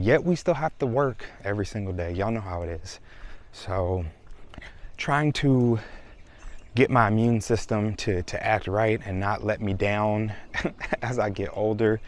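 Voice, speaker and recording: A man, chatting casually to his viewers in a vlog. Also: fairly low pitch. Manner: chatty, relaxed, addresses viewers as y'all. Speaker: vlogger. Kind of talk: casual vlog talk to camera.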